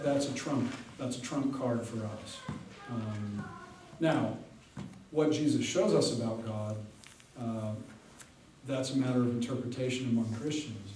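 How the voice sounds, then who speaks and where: A man, a pastor, giving a casual, conversational talk with many fillers and pauses. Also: medium pitch, darkish tone, slight background noise. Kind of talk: casual lecture with audience Q&A. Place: large hall with audience. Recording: echoey hall from far off.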